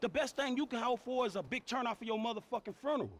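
An actor playing an exasperated, defensive young American street hustler in a video game, slangy and profane. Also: male voice, very high voice, rapid-fire. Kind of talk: video game cutscene acting.

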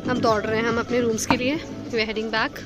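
A woman's voice: fairly high pitch, little pitch movement, a little fast, loud music behind the voice, a loud crowd. A young woman doing casual, playful vlog commentary, mixing in English phrases, recorded on a handheld phone. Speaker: travel vlogger.

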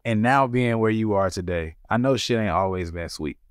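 A man hosting a podcast, asking relaxed conversational questions into a studio boom mic. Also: moderate pace, clear, resonant tone, no room sound. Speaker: podcast host, interviewer. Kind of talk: casual interview. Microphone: studio mic on boom arm.

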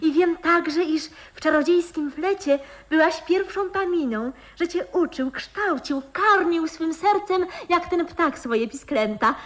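A romantic young girl in an old-fashioned radio drama. She speaks in a high, girlish voice, ardent and impulsive, with theatrical phrasing. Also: expressive, unhurried, few pauses, fairly bright tone, heavily acted. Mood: tender, ardent. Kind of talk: 1960s radio play acting.

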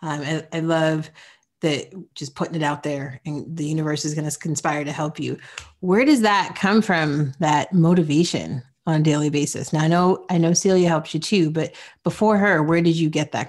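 A woman in her forties hosting a podcast interview, upbeat and encouraging, quick to praise her guests. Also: low-pitched, darkish tone. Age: 40s. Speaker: podcast host. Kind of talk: casual interview chat.

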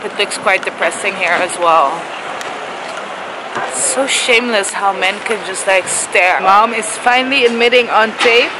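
A woman's voice: mid-range voice, moderate pace. Young woman vlogging on a noisy street. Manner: teasing, laughs often. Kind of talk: casual travel vlog chat. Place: outdoors on busy city streets. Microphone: camera held at arm's length. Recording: loud street noise behind.

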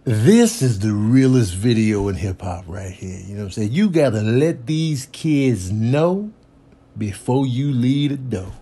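A man's voice: medium pitch, sing-song, unhurried, mellow, breathy edge. A man giving casual, slangy comedic commentary as a parent, telling stories with mock indignation.

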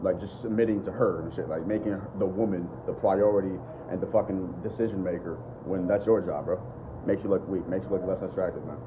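Young man, deep voice, blunt, profane, unscripted vlog, muffled phone recording, steady room noise. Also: a little fast. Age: young adult. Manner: blunt, profane, repeats "bro" and "bottom line". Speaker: dating and self-improvement vlogger. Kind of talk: unscripted casual talk to camera. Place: living room at home. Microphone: phone camera at arm's length.

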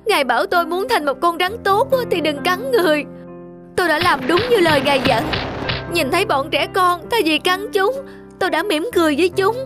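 Woman acting cartoon snake, aging, plaintive, dramatic, high voice, music behind. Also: a little fast. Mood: aggrieved, complaining. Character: aging venomous snake trying to be good, aggrieved. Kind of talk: animated fairy-tale character acting. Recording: music under the voice.

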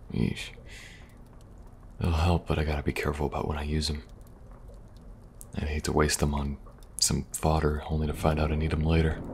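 A man playing a calm, protective young special agent in an ASMR audio roleplay, speaking softly and reassuringly with dry humor and a gravelly, at times breathy voice. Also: a bass voice, exaggerated voice. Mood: focused, wary. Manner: soft, hesitant, reassuring, dryly humorous. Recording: audible background noise, ambience.

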